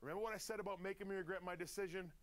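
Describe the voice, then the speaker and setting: An actor playing a disappointed, sardonic wrestling trainer in a video game, sarcastic and quiet. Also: male voice, high voice, fairly even intonation, racing. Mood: sardonic disappointment. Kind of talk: video game cutscene acting.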